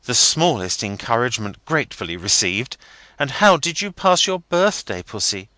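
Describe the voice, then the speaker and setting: A man voicing a stiff, half-grumbling young gentleman fiancé. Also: medium pitch, big pitch swings, no room sound, voice pushed up. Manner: measured, slightly sulky delivery.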